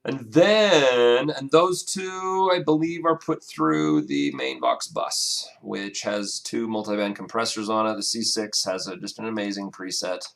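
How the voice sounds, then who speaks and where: A man with a raspy voice casually walking through his song mix, talking in halting bursts with lots of fillers and restarts. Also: fairly low pitch, expressive, a bit bright. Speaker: music producer. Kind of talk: casual screen-recorded mixing walkthrough.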